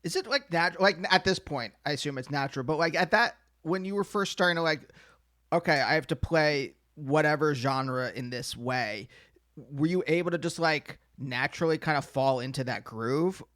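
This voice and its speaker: A man hosts a podcast interview with a fairly high voice. He asks curious, loosely worded questions and keeps his replies brief while the guest talks. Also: normal speed, a bit bright. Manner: curious, short backchannel replies. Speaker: podcast host. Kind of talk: casual interview.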